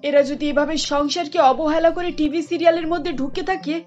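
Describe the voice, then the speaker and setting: Stern cartoon mother , a woman voicing her, quick and reproachful, dull lo-fi sound. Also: high-pitched, fairly even intonation, a little mellow, soft background music, natural voice. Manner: firm, reproachful. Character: stern older mother, scolding and sensible.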